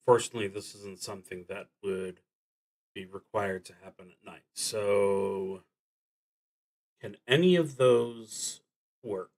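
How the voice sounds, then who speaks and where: Slow-talking, low-voiced man thinking aloud. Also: fairly bright tone. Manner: halting, deliberate, with long pauses. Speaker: solo roleplaying game streamer. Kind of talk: improvised narration of a solo tabletop game, thinking aloud.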